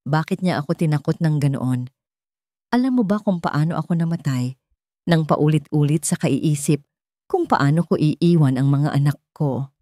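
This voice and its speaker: A woman's voice: low voice, normal speed, dark tone, dry recording, clean studio sound, lightly acted. Woman voicing a frightened, tearful young mother. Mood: tearful, aggrieved.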